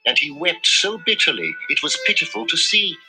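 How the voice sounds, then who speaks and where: A man reading a children's story in an expressive, measured storytelling manner. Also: a little high, low-quality recording. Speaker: storybook reader. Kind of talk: scripted children's story narration. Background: music.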